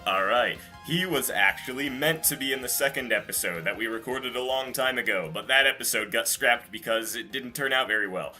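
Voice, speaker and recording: A man's voice: medium pitch, fairly quick, hardly any pauses, sharp tone, soft background music. A man hosting a podcast, chatting casually about game news in an informal, rambling, casually profane way. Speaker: podcast host.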